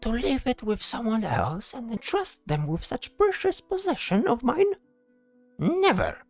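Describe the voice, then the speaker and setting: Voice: mid-range voice, sing-song, measured pace, faint music behind. An actor playing a proud, whiny male bard in a fantasy audio drama, complaining with theatrical indignation. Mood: indignant, dramatic.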